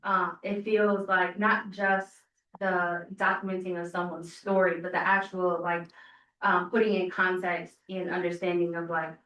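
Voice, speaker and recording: A woman's voice: fairly low pitch, little pitch movement, a little slow, fairly bright tone. A woman in a conversational panel discussion, thinking aloud as she poses questions and checks for agreement. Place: indoor event room. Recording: muffled, echoey room, distant microphone.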